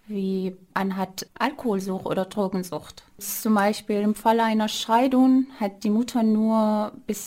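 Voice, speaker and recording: A woman's voice: mid-range voice, darkish tone. A woman from Iran with an Iranian accent, explaining things as a guest in an interview.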